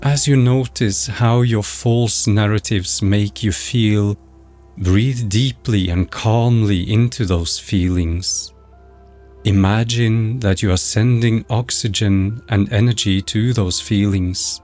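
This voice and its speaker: A man narrating a guided meditation in a measured, instructional, scripted way. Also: fairly low pitch, fairly even intonation, a little mellow. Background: background music.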